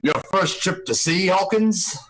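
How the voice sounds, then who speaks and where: A man's voice: high voice, measured pace, fairly bright tone, poor audio. A man playing a genial, wily sailor and ship's cook in a radio play, with folksy seadog talk. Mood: welcoming, jovial. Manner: chuckling, folksy old-seadog talk, drawn out. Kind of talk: live radio play reading.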